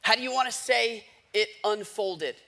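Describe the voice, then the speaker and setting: Casting: American male pastor, high forceful voice, conversational sermon delivery, emphatic repetition. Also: moderate pace. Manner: emphatic, repeats phrases for effect. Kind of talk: conversational sermon with rhetorical questions.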